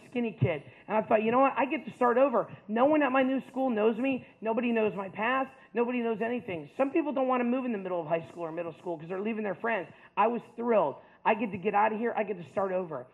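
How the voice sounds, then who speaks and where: A man's voice: high-pitched, phone-quality sound. A man in his fifties from the United States giving a personal testimony to an audience, earnest and chatty, often checking in with "right?". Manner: earnest storytelling with frequent "like" and "right?".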